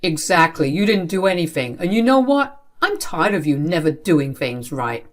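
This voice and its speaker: A woman's voice: low voice, very animated, normal speed. An angry, stern father from a family drama story as voiced by a woman narrator. He scolds and accuses with hard authority, laying down punishments as the unfair head of the house. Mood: angry, accusing.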